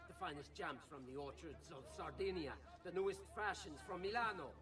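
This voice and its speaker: A man's voice: on the high side, brisk, recorded from a distance, close to an everyday voice. A man playing an insecure, defensive government official with an Italian accent in a video game cutscene, pleading and self-important. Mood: indignant whining. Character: insecure, whining, defensive male Venetian government official and conspirator.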